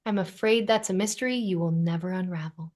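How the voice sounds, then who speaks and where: A woman's voice: on the low side, fairly quick, a little mellow, close to an everyday voice. A woman playing a wry, caring young city woman in a sitcom table read, with dry, teasing delivery.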